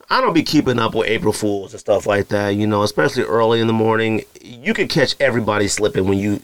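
A man's voice: fairly low pitch, dry recording. A married man hosting a sneaker podcast, talking casually and jokingly straight to his listeners. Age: adult, married. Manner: animated, joking, direct address to listeners. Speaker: podcast host. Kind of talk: casual solo podcast chat with ad read.

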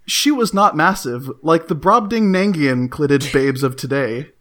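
A man's voice: a little high, expressive, a little slow, fairly bright tone. A man co-hosting a comedic chat, reading posts in a dry, wordy way and chuckling.

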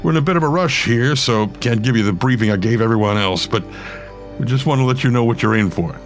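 A man voicing a crude, brisk leader of a search party who talks bluntly and in a hurry. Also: medium pitch, soft background music, lightly acted. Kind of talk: storytelling.

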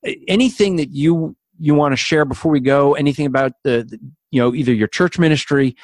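A man's voice: fairly high pitch, brisk, no room sound. A middle-aged man with a New Zealand accent hosting a podcast, upbeat and chatty, laughing easily. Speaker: podcast host. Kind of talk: casual podcast interview.

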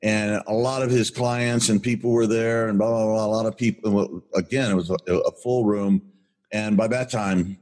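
An older man with a booming voice, co-hosting a podcast and telling a story in an enthusiastic, rambling way with many restarts. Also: fairly even intonation, normal speed, darkish tone. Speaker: podcast co-host. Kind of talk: casual rambling chat.

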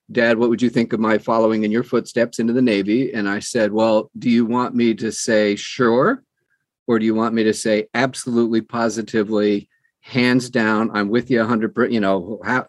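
A man's voice: on the low side, dry recording. Middle-aged american guy telling stories, dry close sound. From United States, New Jersey. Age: middle-aged or older. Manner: animated, acts out quoted dialogue. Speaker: interview guest, audiobook narrator. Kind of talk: conversational storytelling.

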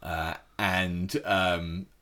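A man's voice: sits low, flat delivery, very slow, sharp tone, slight background noise. A man telling a story in casual chat, with frequent restarts.

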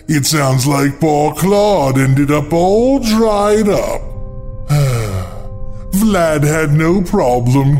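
A man's voice: on the high side, darkish tone. A man playing a gleeful, mocking ghoul of a horror host, announcing in a theatrical, taunting singsong. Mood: sly, gleeful. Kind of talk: scripted macabre announcement with puns.

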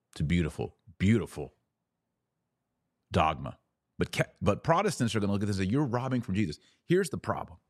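A middle-aged man giving an informal theological explainer as a podcast host, speaking in an emphatic, didactic way with pauses on key phrases. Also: low voice, no echo, studio quality.

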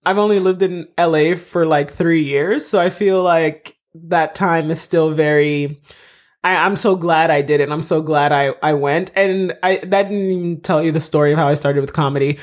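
A woman's voice: low voice, moderate pace. Chatty laughing woman comedy writer. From Haiti. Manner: chatty storytelling, laughs often. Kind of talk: casual podcast interview.

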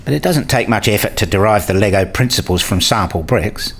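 A man's voice: on the low side, a little fast, breathy edge. A man playing an inquisitive hobbyist in a radio play, questioning and reasoning aloud.

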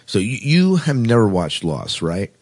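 A man's voice: lowish voice, huge pitch swings, measured pace, darkish tone, slightly rough. A man co-hosting a casual podcast, chatting through science fiction news. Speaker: podcast co-host.

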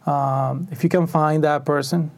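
A man's voice: a little high, fairly even intonation, unhurried. A man from Venezuela answering interview questions in a thoughtful, conversational way, often restarting his sentences. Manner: thoughtful, many restarts and fillers. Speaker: packaging engineer, interview guest.

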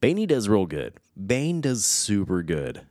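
A man's voice: on the low side, wildly swooping pitch, a little slow, a little mellow, dry recording, clean studio sound. A man in his thirties from the United States, chatting casually and reflectively, rambling with many fillers and restarts. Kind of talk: casual chat.

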